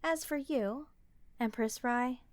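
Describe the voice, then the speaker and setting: A woman's voice: fairly high pitch, slow, no echo. A woman playing an earnest, courteous, principled royal ruler in a fantasy audio roleplay, speaking formally and politely. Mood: earnest, gracious.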